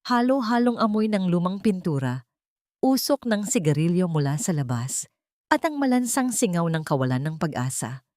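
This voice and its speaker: Low-voiced woman narrating a drama. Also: very animated, darkish tone, no echo, studio quality. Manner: measured, dramatic storytelling. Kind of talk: scripted audio drama narration.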